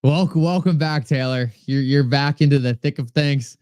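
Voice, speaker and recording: A man with a New England accent runs a live stream in an easygoing, conversational way, answering comments and thanking viewers one by one. His voice is smooth and resonant, and it is recorded close and dry with no room sound. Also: a little high, moderate pace, a little mellow, no room sound. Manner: chatty, reads viewer comments aloud and thanks them by name. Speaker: livestream host. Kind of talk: casual livestream talk answering chat.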